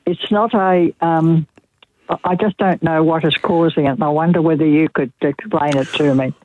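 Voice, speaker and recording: A man's voice: fairly high pitch, steady tone, normal speed, slight background noise. A man phoning a radio show, asking a question in a conversational, hesitant way over a dull line. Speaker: radio phone-in caller.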